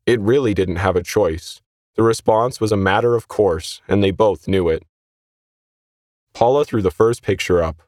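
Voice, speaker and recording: Low-pitched man reading fiction, dry close sound. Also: moderate pace, a little mellow, dry recording. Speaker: story reader. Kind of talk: scripted narration of fiction.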